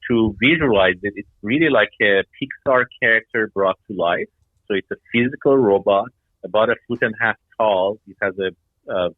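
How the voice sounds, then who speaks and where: A man's voice: fairly low pitch, no echo. A middle-aged man from Iran and Denmark giving explanatory answers as an interview guest. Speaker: guest, startup founder. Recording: tinny phone-like line.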